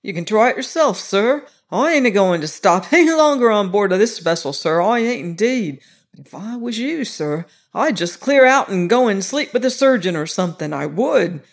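A man puts on a cringing, wheedling ship steward who drops his aitches. The voice sits high and pushes forward, eager to please and quick to insist. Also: animated. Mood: pleading.

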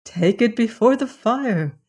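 A woman's voice: fairly high pitch, animated, takes it slowly, darkish tone, slightly rough, pitched above the performer's own voice, full character voice. A woman voicing a playful, cheerful male cat in a storybook tale, eager and coaxing.

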